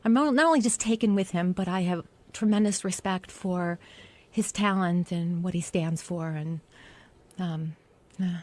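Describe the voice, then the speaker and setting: A woman's voice: fairly low pitch, a little slow, a little mellow. A woman speaking casually as a guest, earnest and effusive, a little shaky with emotion, repeating words for emphasis. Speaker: radio show guest and longtime fan. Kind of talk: casual emotional interview chat.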